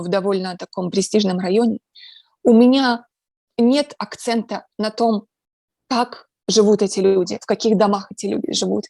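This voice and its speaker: Ukrainian woman guest, gravelly, reflective, earnest, lots of fillers, close and dry sound. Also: medium pitch, a little mellow, dry recording. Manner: earnest, talks about faith. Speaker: interview guest. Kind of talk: casual conversational interview.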